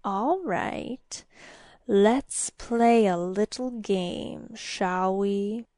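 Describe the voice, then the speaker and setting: Small sinister demon, played by a woman narrator: crackling hiss, very slow taunts, mock-polite menace, storybook villain, dry close sound. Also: mid-range voice, dark tone, no echo. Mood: sly, coaxing. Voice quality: crackling, hissing, breathy whisper. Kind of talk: audiobook fairy-tale character voice.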